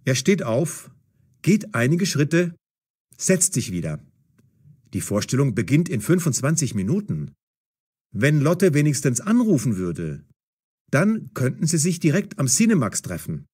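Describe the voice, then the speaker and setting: A man's voice: a little high, very animated, unhurried, darkish tone, husky. A man narrating a scripted story for language learners in short, dramatic sentences.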